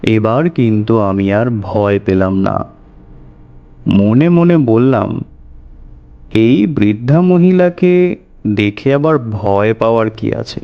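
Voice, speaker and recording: A man narrating a scripted horror story in the first person, in a measured, deliberate way. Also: fairly low pitch, vocal fry, muffled recording. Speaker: storyteller narrator voicing a tantric recounting his past. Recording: music bed under the voice. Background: music.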